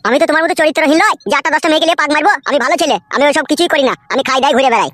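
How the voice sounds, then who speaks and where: Woman voicing a cheeky, high-pitched, rapid-fire cartoon boy. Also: a bit bright, dry recording. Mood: brazen, insolent. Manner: insolent, argumentative, matter-of-fact. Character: cheeky, brazen, smooth-fibbing young village boy. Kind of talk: comedy cartoon dialogue.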